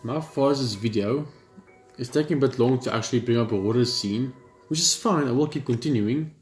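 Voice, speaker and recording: A man's voice: mid-range voice, fairly quick. A man hosting a let's play, reading game text aloud with casual asides and stumbling over the odd word. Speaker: gaming video host. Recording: echoey room, game music behind.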